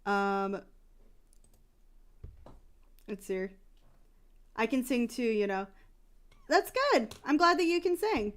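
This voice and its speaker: Smooth-voiced woman streamer chatting casually. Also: fairly high pitch, expressive, slow pace, pauses often. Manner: rambling, restarts, candid. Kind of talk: casual chat.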